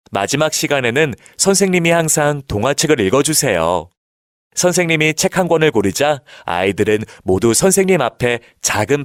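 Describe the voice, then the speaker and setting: A man reads a children's storybook as narrator, with expressive, animated intonation made for young listeners. His voice sits at a middle pitch, recorded dry with no room sound. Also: dry recording.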